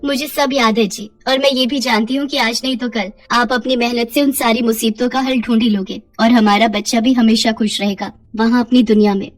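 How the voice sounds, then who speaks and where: An anxious young village wife in a storybook tale, acted by a woman. Her voice is high and fretful, full of pleading questions, yet sensible. Music runs behind it. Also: fairly even intonation, a little fast, hardly any pauses, natural voice.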